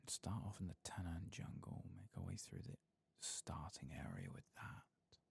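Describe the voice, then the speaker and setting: A tired man narrates his game in a soft ASMR murmur that often drops to a whisper. His voice is very deep and heavily creaky, with a breathy rasp. The pitch moves about in a lively way as he talks unhurriedly to himself. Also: a little slow. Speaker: ASMR gaming streamer.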